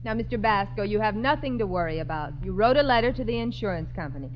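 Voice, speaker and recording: An actress playing a proper, kindly night-school teacher with precise diction in a 1950s radio sitcom. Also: female voice, medium pitch, animated, quick pace, a little hiss or hum, close to an everyday voice. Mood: reproving, reassuring. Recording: muffled old radio recording, studio audience.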